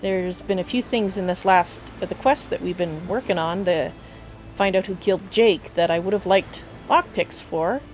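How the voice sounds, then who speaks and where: Slightly hoarse woman streaming a game. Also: on the low side, normal speed, faint music behind, poor audio. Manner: chatty, greets viewers, thinks aloud. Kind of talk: casual live gameplay commentary.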